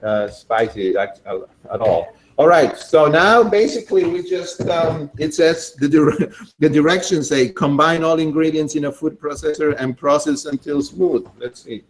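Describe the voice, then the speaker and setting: A man from Argentina, demonstrating a recipe in a casual, enthusiastic way while thinking out loud. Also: on the high side, unhurried, a little hiss or hum, poor audio. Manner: chatty and enthusiastic, thinking aloud with fillers. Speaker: cooking demonstrator.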